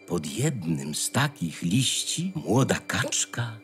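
A man narrating a fairy tale in a measured storytelling manner, with dramatic pauses. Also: sits low, sing-song. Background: music.

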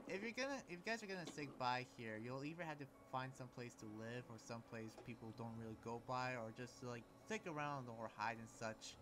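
A man's voice: mid-range voice, expressive, moderate pace, lightly acted. A man playing an earnest, trusting demon researcher, hesitant and rambling, in an improvised Minecraft anime roleplay. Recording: clear background noise and ambience.

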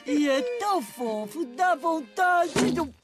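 A woman's voice: high-pitched, expressive, takes it slowly, over loud music. A woman voicing a vain, chatty grown-up female creature in a cartoon dub, with a gossipy drawl. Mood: adoring.

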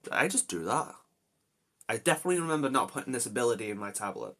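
A man's voice: mid-range voice, big pitch swings, quick pace, bright, forceful tone, exaggerated voice. A man voicing an anxious, protective young adventurer in amateur character lines, talking to himself with dramatic exclamations. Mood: uneasy, determined. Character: anxious, reluctant young adult male adventurer hero, protective.

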